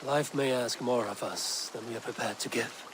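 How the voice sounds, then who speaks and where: A man playing a stern but compassionate Viking clan leader in a video game, speaking in a firm, measured, formal way. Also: mid-range voice, noisy background, natural voice. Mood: solemn, consoling. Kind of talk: video game cutscene acting.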